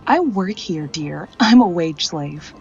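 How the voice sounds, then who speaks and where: Fretful store manager, slightly muffled. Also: female voice, lowish voice, expressive, slow, a little mellow, faint music behind. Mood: bright greeting. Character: fretful female department store promotions manager. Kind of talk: 1940s radio acting.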